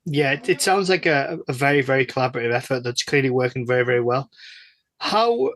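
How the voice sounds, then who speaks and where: A man's voice: mid-range voice, animated, brisk, a bit bright, no echo. A man hosting a casual interview, asking questions in a hesitant, tentative and apologetic way. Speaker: podcast guest host, interviewer.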